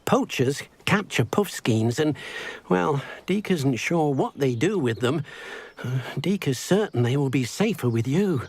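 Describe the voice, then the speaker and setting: An actor playing a small, gentle house-elf in a video game, timid and earnest, speaking carefully in a husky voice. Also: male voice, on the high side, lively intonation, unhurried. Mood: earnest, helpful. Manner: speaks of himself in the third person, earnest and careful. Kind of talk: video game character dialogue.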